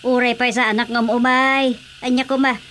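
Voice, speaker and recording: An actress with an Ilocano accent playing an outspoken, teasing wife in a radio drama comedy. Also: female voice, a little high, level tone, moderate pace, a bit bright, lightly acted. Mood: questioning. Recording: faint background ambience noise.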